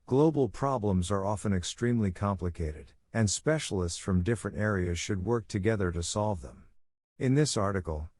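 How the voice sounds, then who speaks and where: A man reading scripted academic passages aloud in a measured, careful, formal way. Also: on the low side, darkish tone, dry recording. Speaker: reader of model read-aloud test passages.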